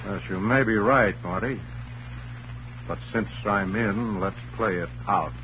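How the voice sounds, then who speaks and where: Man playing a cool, very low-voiced radio hero. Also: a little hiss or hum, close to an everyday voice. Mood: calm challenge. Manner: composed, dry, measured. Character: cool-headed, wry crusading newspaperman hero. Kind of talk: 1940s radio crime drama acting. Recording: muffled, humming old radio recording.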